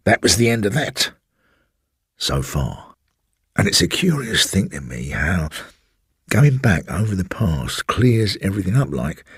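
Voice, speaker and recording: A man's voice: low-pitched, slightly rough, dry recording, clean studio sound, heavily acted. A man voicing a garrulous old railway porter who rambles confidingly and keeps addressing his listener as sir. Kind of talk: audiobook storytelling.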